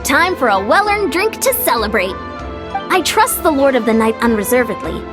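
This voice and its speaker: A woman playing an upbeat, sociable young warrior ally in a video game, with breezy, expressive delivery. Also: high voice, normal speed, over music. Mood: reassuring, upbeat.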